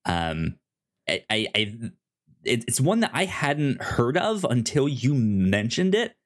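A man co-hosting a casual review podcast, talking haltingly and often repeating the starts of his words. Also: mid-range voice, sing-song. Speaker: podcast co-host.

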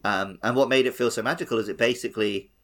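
A man talks in a relaxed, conversational podcast style. His voice sits at an average pitch and carries a noticeable creak, with some gravel in the delivery as he co-hosts. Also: fast. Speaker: podcast co-host. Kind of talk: casual chat.

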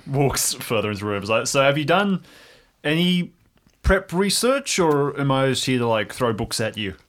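A man's voice: medium pitch, sing-song, normal speed, a bit bright. A man playing in an improvised audio drama, casually narrating and voicing his own character. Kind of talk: casual third-person role-play.

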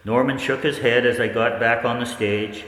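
A man playing a researcher who narrates his own experiment in a 1940s-style radio play, reflective and determined, with deliberate pauses. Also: medium pitch, fairly even intonation, a bit bright, lightly acted. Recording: echoey hall, faint background noise.

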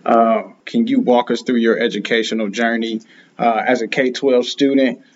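A man's voice: mid-range voice, fairly even intonation, moderate pace, muffled recording. A man hosting an interview asks long, hesitant questions, filling them with "uh" and "you know". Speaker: podcast host, interviewer.

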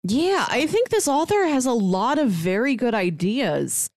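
A woman with a fairly high voice talks through a casual review as a podcast co-host. Her intonation swings widely, and she likes to act out imagined lines. The sound is dry and close. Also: big pitch swings, unhurried, dry recording. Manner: acts out mock dialogue to make points. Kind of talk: casual animated discussion.

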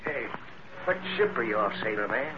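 A man's voice: fairly low pitch, lively intonation, moderate pace, lightly acted. An actor playing a jovial drunk man in a 1940s radio drama, slurring and repeating himself in an over-friendly way. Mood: tipsy, chummy. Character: loud jovial drunk man carousing in a bar. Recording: noisy muffled vintage radio recording.

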